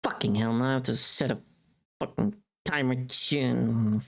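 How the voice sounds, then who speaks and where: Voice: medium pitch, measured pace, vocal fry. A streamer chatting casually on a livestream in a playful, teasing, sweary way.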